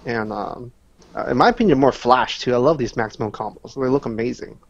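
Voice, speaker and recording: A man's voice: mid-range voice, fairly quick, creaky, dull audio. A man giving casual, analytical answers as a guest player in a gaming interview.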